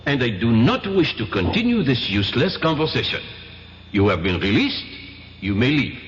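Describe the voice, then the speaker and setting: Brief: actor as a guarded French inspector near retirement, lively inflection, lo-fi radio with reverb. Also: male voice, mid-range voice, normal speed, slight background noise, close to an everyday voice. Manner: formal, evasive, clipped. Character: weary older male French police inspector, cautious. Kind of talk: 1970s radio drama acting. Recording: dull lo-fi vintage radio, long reverb.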